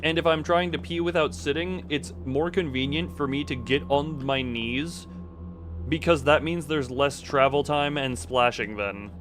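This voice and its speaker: A man's voice: on the high side, measured pace, background noise. A man chatting casually on a gaming livestream and reading game notes aloud, rambling, easily sidetracked and stumbling over words. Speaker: live streamer.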